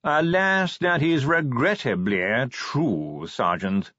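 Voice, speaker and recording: A man's voice: mid-range voice, fairly bright tone, dry recording, voice pushed up. A man voicing a posh, fussy male art curator who drawls as if yawning. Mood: plaintive. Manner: slow and plaintive. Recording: dull lo-fi recording.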